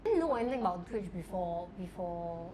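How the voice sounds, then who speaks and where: A woman's voice: lowish voice, very animated, fairly quick, warm tone, slight background noise. A young adult woman from Taiwan, chatting casually as a podcast guest and filling her talk with "like". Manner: chatty, lots of filler "like". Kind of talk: casual chat.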